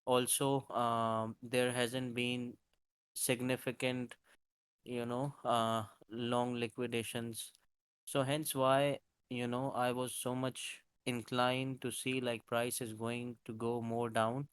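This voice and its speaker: A man's voice: medium pitch, flat delivery, slow pace, clean tone, no echo. Hesitant man walking through market charts. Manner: casual, hesitant, many fillers and restarts. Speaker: crypto market analyst presenting charts. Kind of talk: live-streamed chart walkthrough.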